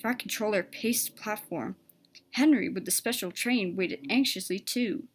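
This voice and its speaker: A woman's voice: mid-range voice, moderate pace, slightly rough. A woman reading a children's story aloud as a scripted narration.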